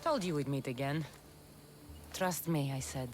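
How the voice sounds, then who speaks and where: A woman's voice: low-pitched, measured pace, darkish tone, faint music behind. An actress playing a wry, self-assured mercenary woman of ancient Greece in a video game. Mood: wry, affectionate. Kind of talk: video game cutscene acting.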